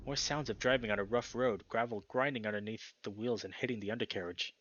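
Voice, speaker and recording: A man's voice: on the low side, quick pace, a bit bright, lo-fi sound, a little hiss or hum. A young man reading a visual novel aloud as a dramatic story narration for a let's play. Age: young adult.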